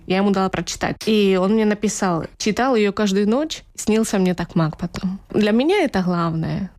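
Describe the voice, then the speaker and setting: A woman's voice: mid-range voice, a little mellow. A woman writer from Ukraine answering interview questions in a reflective, personal, conversational way, repeating phrases for emphasis.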